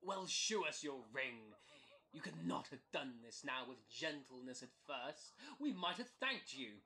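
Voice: mid-range voice, big pitch swings, a little slow, sharp tone. An actor playing a scheming, mock-courtly, goading swindler in an early modern comedy audio drama. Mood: needling, bossy. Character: scheming, fast-talking con man flattering gentlemen, bullying merchant.

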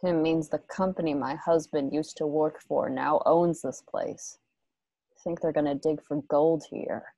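A woman acting a low-voiced, grieving western widow. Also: fairly even intonation, dark tone, no room sound, rough recording. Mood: flat, matter-of-fact. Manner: halting, understated, terse. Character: grieving, guarded widow in a western, quietly resolute. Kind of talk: radio play acting. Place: home, on a group video call.

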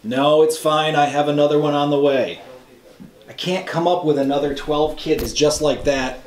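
A man's voice: a little high, fairly even intonation, normal speed, close to an everyday voice. A man playing a secretive, evasive villain in a horror film, recorded in an echoing room. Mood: cold, businesslike. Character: evasive adult male villain, secretive child trafficker. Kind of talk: naturalistic horror film acting. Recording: echoey room, faint noise.

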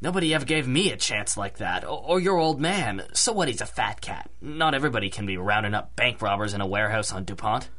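An actor playing a gruff, blunt working-class cabbie in a 1930s radio drama, talking in street slang. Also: male voice, lowish voice, expressive, fast talker, hardly any pauses, bright, forceful tone. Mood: exasperated.

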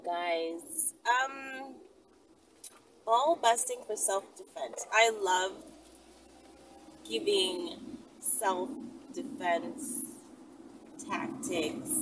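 A woman in her thirties chatting casually to camera from inside a car, speaking emphatically and repeating herself, with frequent check-ins to her viewers. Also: far from the mic. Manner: emphatic, repetitive, checks in with "right?" and "okay?". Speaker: vlogger answering viewer questions. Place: inside a car, often moving. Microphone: camera or phone mounted at arm's length. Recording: noisy car interior, off-mic, vehicle rumble. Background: loud vehicle and road noise.

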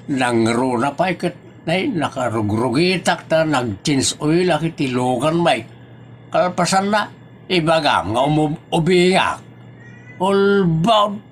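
A man's voice: fairly high pitch, animated, slow pace, a little hiss or hum. A man with an Ilocano accent playing a grumbling, easily flustered older husband in a comedy audio drama, with blustering exclamations. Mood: flustered, suspicious.

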